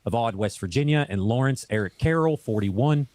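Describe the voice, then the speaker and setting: Hoarse man reading a news statement. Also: mid-range voice, expressive, normal speed, a little mellow, dry recording. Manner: casual, stumbles over words, adds corrections. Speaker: online video host reading a news statement. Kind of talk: reading a news report aloud.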